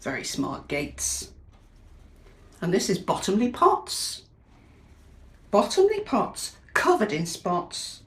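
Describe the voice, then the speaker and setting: A British woman teacher reading a picture book aloud to young children, expressive and chatty, often asking her listeners questions. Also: mid-range voice, slow pace, long pauses. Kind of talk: animated children's storytime read-aloud with chatty asides. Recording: echoey, reverberant room.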